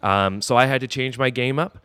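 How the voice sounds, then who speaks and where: A man's voice: medium pitch, brisk, sharp tone, clean tone, no room sound, clean studio sound. A man being interviewed on a podcast as a wrestler, talking in a cocky, boastful heel manner. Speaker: wrestler guest.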